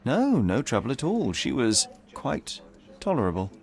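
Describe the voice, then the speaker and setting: An actor playing a young, self-assured gentleman detective in a video game, speaking formally with dry politeness. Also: medium pitch, wildly swooping pitch, normal speed, vocal fry. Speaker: amateur detective.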